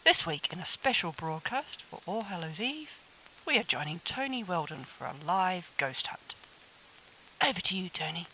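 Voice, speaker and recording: A woman acts a female radio continuity announcer in an audio drama. The voice sits fairly low and moves with lively inflection, delivering a scripted broadcast introduction over faint hiss. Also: normal speed. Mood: composed.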